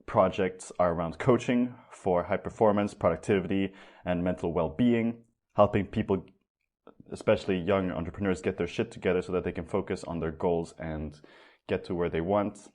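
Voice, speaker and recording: Young guy hosting a podcast, really low voice, loose and excited, casual chat. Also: normal speed. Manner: upbeat, loose, swears casually. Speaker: podcast host.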